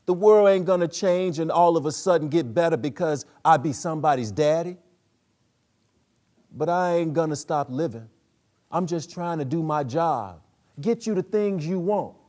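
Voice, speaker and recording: Man acting a loud, defiant father-to-be. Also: on the high side, moderate pace, pitched above the performer's own voice, close to an everyday voice. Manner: forceful, insistent, vernacular. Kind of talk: dramatic play reading.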